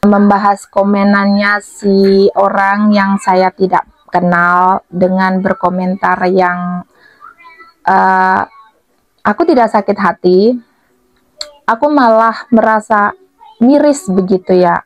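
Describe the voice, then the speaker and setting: A woman's voice: mid-range voice, level tone, slow, long pauses, no room sound. A woman vlogger talks casually to her viewers. She takes her time over each phrase and has a cold with a cough and sore throat. The sound is dry and close, but somewhat dull and lo-fi. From Blitar, East Java, Indonesia.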